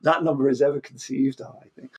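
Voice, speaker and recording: A man's voice: medium pitch, steady tone, fast talker, a little mellow, husky. A man with a British accent explains ideas informally as an interview guest, often repeating words and restarting his sentences. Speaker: podcast guest, physicist and author.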